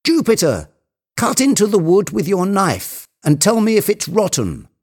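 An agitated, domineering storybook man, acted out by a male reader. His voice jumps well above a normal male register and swoops animatedly as he issues urgent commands. He sounds obsessive and excitable. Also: sits high, measured pace, pitched above the performer's own voice. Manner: imperious, agitated, exclaiming.

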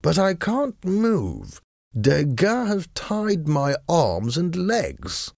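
A man voicing a calm, bored-sounding gentleman hero in an audiobook, with a drawling, courteous, nonchalant manner. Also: medium pitch, slow pace, gravelly, dry recording, clean studio sound, pitched above the performer's own voice, heavily acted. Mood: languid, unruffled. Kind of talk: acted audiobook dialogue.

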